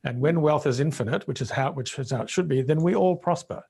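Emphatic man, mid-pitched, answering interview questions. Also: quick pace, vocal fry, a little mellow, breathy edge. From Zambia and Rhodesia. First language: Bemba. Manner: emphatic, repeats words when restarting. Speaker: interview guest, businessman.

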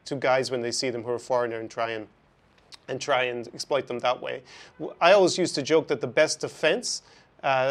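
Male podcast host in conversation. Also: medium pitch. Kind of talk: conversational interview.

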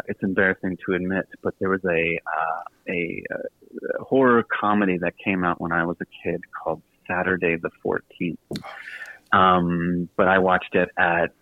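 A man's voice: low voice, dry recording, narrow, tinny audio. A man chatting casually as a radio interview guest, self-deprecating and effusive, with a joking manner. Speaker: guest, author and podcast host.

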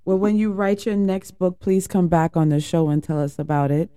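A man's voice: high voice, moderate pace, mellow, smooth, dry recording, clean studio sound. A man hosting an interview, asking polite questions and giving short affirmations. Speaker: host.

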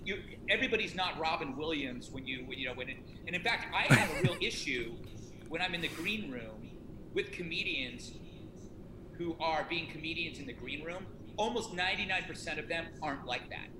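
Middle-aged male author, rambling self-deprecating talker, somewhat high voice, echoey noisy room. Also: animated, fairly quick. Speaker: author promoting a self-help book. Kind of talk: casual conversation. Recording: echoey room with noticeable noise.

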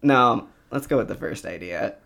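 A man's voice: mid-range voice, expressive, moderate pace, vocal fry, a bit bright. A young man co-hosting a podcast in casual comedic banter, rambling and swearing freely. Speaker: podcast co-host.

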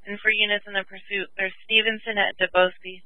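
Flat-voiced female dispatcher on tinny radio. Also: medium pitch, a little fast. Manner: clipped, procedural phrasing. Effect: police dispatch radio. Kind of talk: terse radio read-backs. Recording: dry, tinny phone-like dispatch line.

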